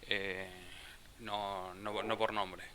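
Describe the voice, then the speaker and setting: A man with a low voice and a very bright, forceful tone teaches programming. He talks slowly and haltingly, restarting phrases as he works through code. A faint hiss sits under the voice. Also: little pitch movement. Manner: slow, halting, thinks aloud with restarts. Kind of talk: live coding class explanation.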